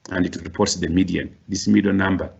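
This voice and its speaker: A middle-aged male lecturer with a very low, gravelly and husky voice, working through a workshop slowly and repeating himself as he explains. The sound is muffled and lo-fi, like an online lecture recording. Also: flat delivery. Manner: explains slowly with many restarts and rising stresses.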